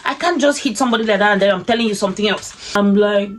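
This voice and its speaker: A young Cameroonian woman telling a story in a casual, animated way, laughing often and acting out what people said. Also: medium pitch, fast talker. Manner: animated, laughs often, acts out quoted speech.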